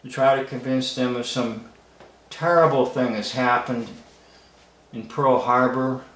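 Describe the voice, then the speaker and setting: A man gives casual, musing commentary without a script. He speaks slowly and haltingly, at a middling pitch, in an echoey room. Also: fairly even intonation. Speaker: video commentator.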